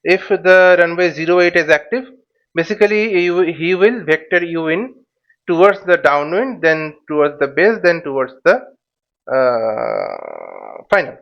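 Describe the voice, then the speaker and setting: A man giving an informal step-by-step tutorial as an instructor, speaking haltingly and repeating his words and phrases. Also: on the high side, very animated, vocal fry. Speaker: flight simulation instructor. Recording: dull, lo-fi microphone sound.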